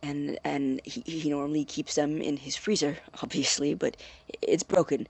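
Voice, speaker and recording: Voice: medium pitch, fairly even intonation, moderate pace, creaky, a little mellow, husky. An actor playing an anxious, secretive man in an audio drama, stammering and defensive as he guards his experiments. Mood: nervous, evasive. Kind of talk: naturalistic audio drama acting.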